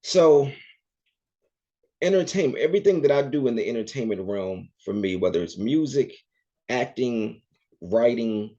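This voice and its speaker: A man's voice: mid-range voice, lively intonation, moderate pace, pauses often. A man speaking as a podcast guest, an entertainer and author, talking earnestly and reflectively in a casual interview. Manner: earnest and reflective, with soft laughs. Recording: dull lo-fi audio.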